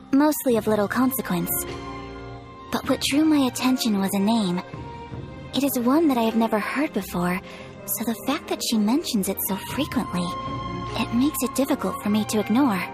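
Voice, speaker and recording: A woman plays a courteous young noblewoman from a video game. The voice is high and the phrasing formal and measured, earnest yet reserved, with music underneath. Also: lively intonation, lightly acted. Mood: earnest, confiding. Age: young adult. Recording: background music.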